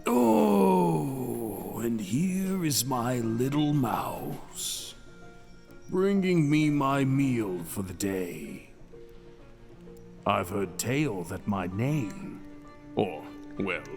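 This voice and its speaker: A man's voice: mid-range voice, gravelly, exaggerated voice. A man playing a languid, seductive captive dragon king. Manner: languid, teasing, drawn-out phrasing with dramatic pauses. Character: mad captive dragon king, ancient, seductive, possessive, menacing. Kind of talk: intimate ASMR roleplay acting. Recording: long cavernous reverb, music behind.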